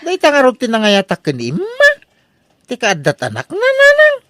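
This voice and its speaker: A man's voice: very high-pitched, wildly swooping pitch, normal speed, a bit bright, no room sound, studio quality, heavily acted. A man with an Ilocano accent playing a fickle, pleading, brazen young bridegroom in a comedy drama, wheedling and exaggerated. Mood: insistent. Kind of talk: comedy radio drama acting.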